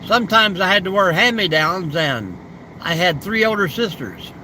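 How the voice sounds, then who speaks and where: Older guy chatting live w/ viewers, high-ish voice, folksy and easygoing, traffic noise outside. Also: big pitch swings, moderate pace. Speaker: live stream host. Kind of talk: casual live stream chat, answering viewer comments.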